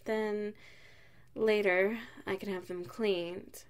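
A woman playing an affectionate, playful wife in an ASMR roleplay, speaking in a soft, intimate manner. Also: medium pitch, fairly even intonation, takes it slowly, lightly acted. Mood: tender, relaxed. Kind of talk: ASMR roleplay, one side of a dialogue.

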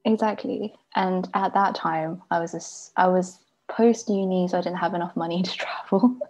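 A woman's voice: fairly low pitch, fairly even intonation, moderate pace, a little mellow. A young woman from Taiwan, an illustrator chatting as a guest over a video call from home, often giggling. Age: young adult. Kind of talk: casual interview chat.

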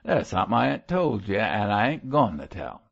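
A man voicing a surly, defiant Cockney murderer in an audiobook, gruff and rough-spoken. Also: fairly low pitch, very animated, normal speed, muffled recording, heavily acted. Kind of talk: audiobook character voice.

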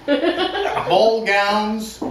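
A man in his thirties giving a mock-serious dramatic reading in a deliberate, theatrical manner with long pauses, in a living room. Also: high-pitched, sing-song. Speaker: talent show performer. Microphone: far camera. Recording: distant camera, roomy echo, noisy background.

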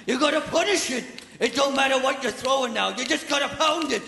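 A man playing a gruff, pugnacious old-school cornerman, urging in streetwise slang in a comic radio play. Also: very high voice, brisk, bright, forceful tone, heavily acted. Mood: gruff goading. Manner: streetwise slangy urging, tough-guy grammar. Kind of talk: comic radio theater acting. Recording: live theater stage, reverberant, faint noise.

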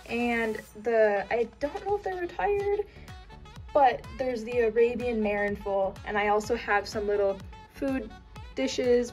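A young woman casually narrating a tour of her toy horse stable while filming it on her phone, with frequent ums. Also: a little high. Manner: chatty, with frequent ums and trailing off. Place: bedroom with a toy stable on a dresser. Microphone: phone camera held by the speaker, off screen.

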